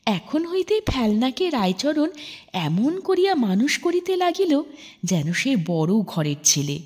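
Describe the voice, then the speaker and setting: A woman narrating a literary story in an expressive, measured, dramatic audiobook style. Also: high-pitched, husky.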